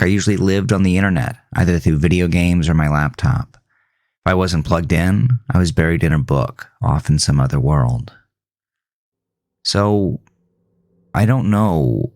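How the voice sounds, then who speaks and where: A man playing an uneasy man in his mid-thirties, narrating a horror audio drama in a measured, confiding, brooding way. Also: sits low, creaky. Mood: wry, matter-of-fact.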